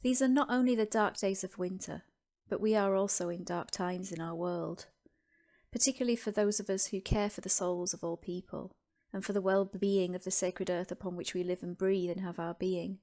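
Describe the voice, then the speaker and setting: A woman narrating a scripted guided meditation as host, with deliberate, reverent phrasing and pauses. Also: medium pitch, fairly even intonation, dark tone.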